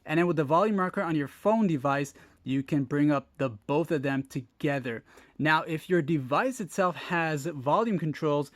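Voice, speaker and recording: A man's voice: on the high side, no echo. A man hosting a tech tutorial, talking viewers through steps in a casual, informal way and addressing them directly. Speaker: host of a phone tech tutorial channel. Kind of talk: casual step-by-step tutorial narration.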